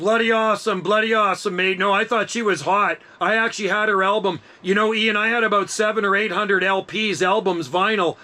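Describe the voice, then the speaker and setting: A man's voice: high voice, steady tone, a little fast, hardly any pauses, bright, forceful tone. A middle-aged man chatting casually as an amateur radio operator, enthusiastic and slangy. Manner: enthusiastic, laid-back slangy talk.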